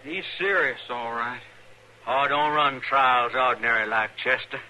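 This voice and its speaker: An actor playing a callous, obedient young frontier son, folksy and surly, in an old radio western. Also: male voice, medium pitch, measured pace, pushed and bright. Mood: smug, surly. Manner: folksy, matter-of-fact, surly. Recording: noisy old radio recording.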